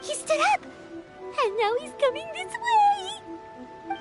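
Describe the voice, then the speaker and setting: A woman voicing a tiny, excitable fairy companion in a game, exclaiming at everything. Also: extremely high pitch, takes it slowly, faint music behind, full character voice. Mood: excited. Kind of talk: game voice acting.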